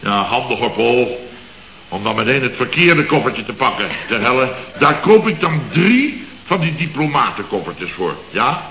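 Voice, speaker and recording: A man's voice: mid-range voice, very animated, normal speed, sharp tone. An actor playing a blustering, exasperated boss of a building firm, who rants and mockingly mimics his staff in a 1960s radio comedy. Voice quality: sarcastic, ranting, mimics others mockingly. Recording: roomy dull old radio recording with faint noise.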